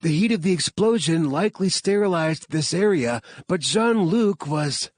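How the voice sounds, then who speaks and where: A man's voice: sits high, lively intonation, moderate pace, no echo, pitched above the performer's own voice. A man voicing a fierce, determined woman scientist in an audiobook, speaking urgently.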